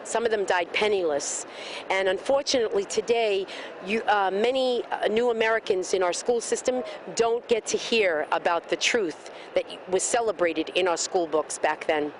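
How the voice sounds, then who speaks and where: A woman's voice: mid-range voice, moderate pace, a little hiss or hum. A woman speaking fervently at an outdoor street rally, answering an interviewer with speech-like rhetorical questions. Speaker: rally protester being interviewed.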